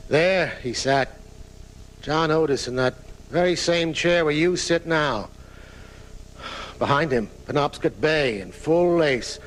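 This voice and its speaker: A man's voice: a little high, takes it slowly, long pauses. An actor playing an aging famous writer in a live radio drama, telling his story in a florid, dramatic way. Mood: grandly reminiscing. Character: aging famous male writer recounting his own murder, theatrical. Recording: noisy 1980s off-air radio recording.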